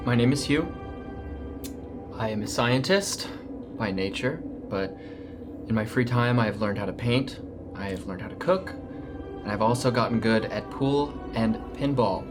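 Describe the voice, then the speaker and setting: A man voicing a calm, bored male android scientist, speaking in a polite, measured and slightly wry way during a tabletop roleplay. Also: mid-range voice, music in the background, close to an everyday voice. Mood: earnest.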